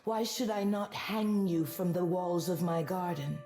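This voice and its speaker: Imperious game queen: stern, menacing, mellow dark tone, very measured pace, faint music. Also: lowish voice. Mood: cold anger. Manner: slow, commanding, menacing. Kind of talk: video game character acting.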